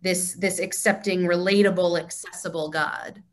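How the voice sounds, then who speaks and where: A woman's voice: lowish voice, steady tone, normal speed. A woman leading an informal group discussion on a video call, encouraging and affirming as she teaches. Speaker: discussion leader of a temple group.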